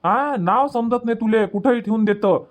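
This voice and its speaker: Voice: mid-range voice, normal speed, natural voice. A performer plays a village husband and father from a cartoon comedy. He speaks in a Varhadi Marathi accent, handing out orders and scolding like an exasperated head of the house. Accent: Varhadi Marathi from Vidarbha. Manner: bossy, scolding.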